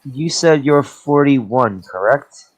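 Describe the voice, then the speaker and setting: A man in his forties chatting casually as a guest, halting and repeating his words. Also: medium pitch, animated, poor audio. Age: 40s. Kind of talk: casual chat.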